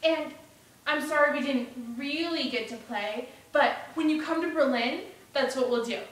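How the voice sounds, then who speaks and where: A naive young boy played by a woman speaks in a high, emphatic voice. He is earnest and impatient, in a dramatic storytelling piece. The sound is very echoey and distant from the mic. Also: moderate pace, fairly bright tone. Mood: apologetic, earnest. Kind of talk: dramatic storytelling for speech competition. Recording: echoey room, distant mic, faint noise.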